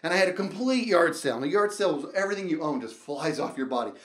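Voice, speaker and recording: A middle-aged man telling a casual, rambling comedic story in an animated way, acting out dialogue and reactions as he goes. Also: fairly high pitch, racing, echoey room. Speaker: storyteller and show host.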